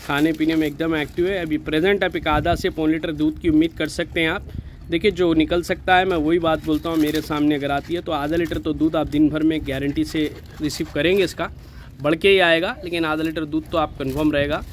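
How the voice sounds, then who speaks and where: A man's voice: a little high, fairly even intonation, a little fast, slight background noise. A man with a Hyderabadi accent, a goat farm owner giving a chatty, persuasive sales walkthrough outdoors in a goat pen. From India.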